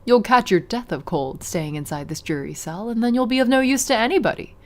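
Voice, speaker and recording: A woman's voice: a little high, lively intonation, close to an everyday voice. A woman playing a sultry vampire noblewoman. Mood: silky. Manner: slow, purring, archly formal. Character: sultry, cold, haughty female vampire noblewoman.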